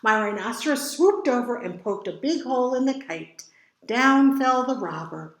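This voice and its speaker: A woman reading picture books aloud to children as a storytime reader, with dramatic emphasis and drawn-out words. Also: a little high, lively intonation, roomy sound. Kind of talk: expressive picture book read-aloud for children.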